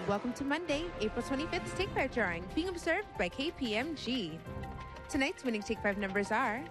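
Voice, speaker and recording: Lively higher-pitched woman announcing over music. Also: fairly quick. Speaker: lottery drawing host. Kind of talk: formal televised announcement.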